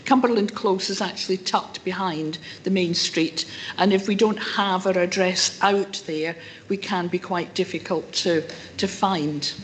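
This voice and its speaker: A woman with a rough voice, speaking as a member of the public to a council meeting and answering questions. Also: lowish voice, fairly even intonation, a little slow, slight background noise, poor audio. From incomer to the town. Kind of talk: formal address to a council meeting.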